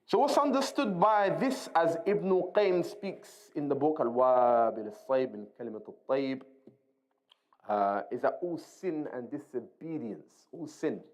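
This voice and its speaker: A man's voice: mid-range voice, measured pace, darkish tone, rough recording. Man teaching an informal religious lesson. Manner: conversational, repeats key phrases, checks in with short tag questions. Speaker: religious teacher reading and explaining a book. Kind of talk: informal Islamic lesson, explaining point by point.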